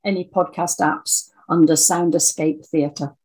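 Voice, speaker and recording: A woman's voice: deep voice, steady tone, a little slow, a little mellow, breathy edge, poor audio. A woman answering interview questions in a conversational way, as a guest writer. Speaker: interview guest, audio dramatist.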